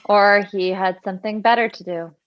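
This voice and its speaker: A woman's voice: steady tone, normal speed, lightly acted. A woman acting a guarded young female camp counselor in a script read, on a muffled microphone. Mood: let down. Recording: muffled, dull low-fi microphone.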